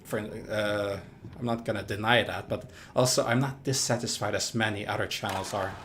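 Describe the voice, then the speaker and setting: Young man, low-ish voice, hesitant live-stream chatter. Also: fairly even intonation, a bit bright, slight background noise. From Norway. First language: Norwegian. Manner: halting, repeats words, chatty with viewers. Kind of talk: live gameplay commentary.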